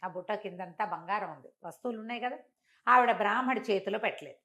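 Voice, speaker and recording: Animated older woman telling a story. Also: fast talker. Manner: animated, acts out quoted speech with big pitch jumps. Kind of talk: telling a traditional moral story.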